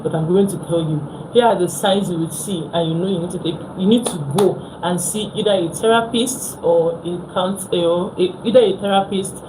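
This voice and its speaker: A woman's voice: lowish voice, normal speed, hardly any pauses, noisy background. A young Nigerian woman with a Nigerian accent, hosting a casual phone live stream, chatting with viewers and repeating herself.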